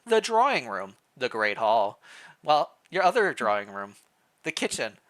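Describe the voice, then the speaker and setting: Lively story-reading streamer, mid-pitched, bright voice, playful asides, expressive delivery. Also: moderate pace. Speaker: streamer, reader. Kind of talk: reading interactive fan fiction aloud on a live stream.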